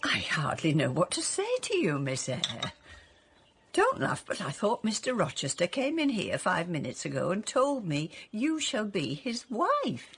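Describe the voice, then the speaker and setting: A woman's voice: mid-range voice, wildly swooping pitch, unhurried, a bit bright, slight background noise, exaggerated voice. An actress playing an anxious, motherly older housekeeper in a period radio drama, hesitant and cautious. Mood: astonished. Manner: hesitant, fretful.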